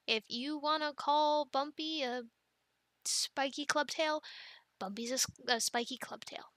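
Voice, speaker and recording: A woman playing an affectionate, playful young mother in an ASMR family roleplay, talking gently and haltingly to her children. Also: high-pitched, dry recording, heavily acted. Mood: playful, fond. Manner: gentle, halting, soft-spoken with playful bursts.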